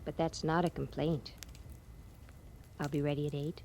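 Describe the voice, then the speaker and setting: An actress playing a composed, understanding, gently teasing frontier woman in a 1950s radio western, on a vintage recording. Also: sits low, little pitch movement, moderate pace, a little hiss or hum. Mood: wry, teasing. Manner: gently teasing, self-possessed.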